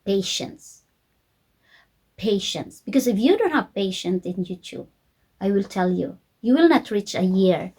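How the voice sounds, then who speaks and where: A woman from the Philippines with a Filipino accent, coaching viewers informally in simple, deliberate second-language English and repeating key points with emphasis. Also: mid-range voice, sing-song, mellow, slightly rough. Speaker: YouTube coach.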